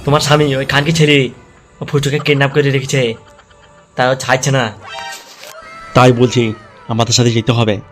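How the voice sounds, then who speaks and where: A man's voice: mid-range voice, flat delivery, moderate pace, pauses often, fairly bright tone. A man voicing a foul-mouthed, vengeful gang schemer in a comedy cartoon, with crude, threatening delivery. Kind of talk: comedy cartoon character dialogue. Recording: music behind the voice.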